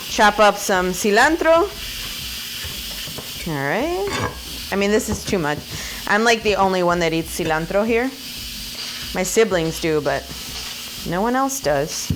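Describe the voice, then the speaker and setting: Woman, thirties, native Spanish speaker, cooking vlogger, chatty, playful, very lively pitch, home kitchen, faint background noise. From Puerto Rican and Mexican heritage. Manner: chatty, playful, laughs often, talks to viewers. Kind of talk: casual cooking demo to camera.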